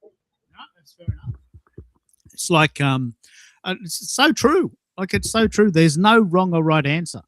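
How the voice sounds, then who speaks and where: A man's voice: high voice, expressive, unhurried, long pauses, a bit bright, no echo, poor audio. A man in his sixties hosting a conversational round-robin talk show, putting questions to guests. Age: 60s. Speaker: show host.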